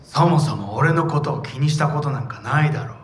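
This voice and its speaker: An actor playing a magnate's resentful, accusing grown son in a video game. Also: male voice, rapid-fire, recorded from a distance, lightly acted. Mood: bitter, defiant. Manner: accusing, bitter. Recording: roomy echo, faint rumble.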